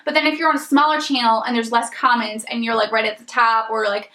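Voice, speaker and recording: A young woman giving casual tips to camera as a beauty vlogger, chatty and rambling with lots of ums, her voice a little raspy from a coming cold. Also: a little high, flat delivery, quick pace, bright, forceful tone, echoey room. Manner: chatty, rambling, many ums and you-knows.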